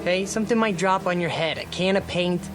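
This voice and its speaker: A man's voice: high-pitched, quick pace, fairly bright tone. An actor playing a superstitious, stubborn young newlywed husband in a radio drama, hesitant and defensive as he insists. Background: music under the voice.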